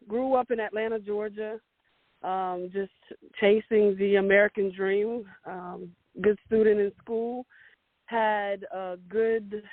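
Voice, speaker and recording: A woman's voice: medium pitch, dry recording. A woman in her forties from Romania, telling her story as an interview guest with many hesitant fillers. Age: 40s. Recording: dry, tinny phone line.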